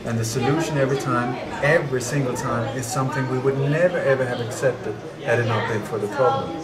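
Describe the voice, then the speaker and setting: A man's voice: mid-range voice, fast talker. A man who grew up in Denmark and Sweden, giving an earnest, emphatic interview at a conference and repeating his key words. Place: conference venue indoors. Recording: echoey conference venue with crowd. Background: loud crowd chatter.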